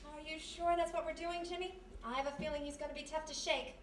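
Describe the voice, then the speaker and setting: A woman's voice: high voice, steady tone, quick pace, close to an everyday voice. A woman playing a coy, teasing gal Friday and former vaudevillian in a live old-time radio mystery. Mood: wry. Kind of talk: live 1930s-style radio mystery acting. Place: live stage performance in historic house. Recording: distant, echoey live stage, noisy.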